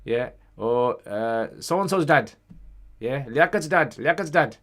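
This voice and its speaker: A man's voice: medium pitch, lively intonation, takes it slowly, a bit bright. A man hosting a casual livestream Q&A, reading out questions and answering conversationally, with Arabic phrases sprinkled in.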